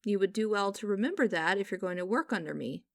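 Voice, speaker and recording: A woman playing a stern, mocking young company boss in a scripted audio roleplay, speaking in a formal, deliberate and condescending way. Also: medium pitch, expressive, vocal fry, dry recording, studio quality. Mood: mocking, smug.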